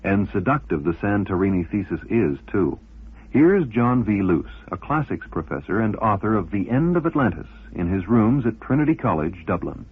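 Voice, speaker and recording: A male presenter narrates from a script with an animated, moving pitch. His voice is husky and somewhat low, heard through a thin, band-limited radio recording. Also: normal speed, narrow, tinny audio.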